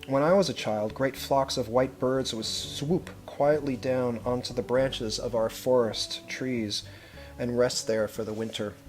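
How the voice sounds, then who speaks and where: A man reads a picture book aloud as a school head would to children, with measured, expressive phrasing and pauses. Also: mid-range voice, faint music behind, poor audio. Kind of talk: storybook read-aloud with brief asides.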